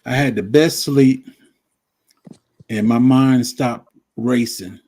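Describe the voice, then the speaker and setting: Husky-voiced man in his fifties. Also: mid-range voice, slow pace. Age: 50s. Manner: relaxed storytelling, repeats himself. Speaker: guest. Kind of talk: casual chat. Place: live stream call.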